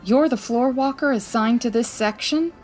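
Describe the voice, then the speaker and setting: A woman playing a quick-witted, breezy female private detective with a wry, commanding manner in a 1940s-style radio comedy. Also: a little high, a little mellow, faint music behind, natural voice. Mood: businesslike.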